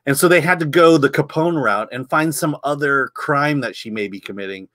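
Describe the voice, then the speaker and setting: A middle-aged man hosting a film review from a room at home over a video call. His voice is bright and sits close and dry, and he talks in a relaxed way, doubling up words as he gathers his thoughts. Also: fairly high pitch, brisk, no room sound. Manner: conversational, repeats words while thinking. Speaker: host, film site editor. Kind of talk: casual film review chat.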